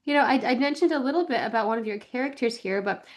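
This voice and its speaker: A woman's voice: on the high side, fast. A woman speaking as a podcast host, presenting and interviewing. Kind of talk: scripted intro and interviewing.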